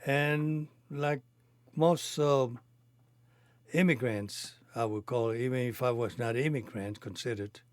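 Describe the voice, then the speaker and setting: A man in his eighties from Cuba, a native Spanish speaker with a Cuban accent, recounting his life in halting, non-native phrasing. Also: medium pitch, fairly even intonation, dry recording. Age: 80s. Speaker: interviewee telling his life story. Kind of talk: reminiscing conversation.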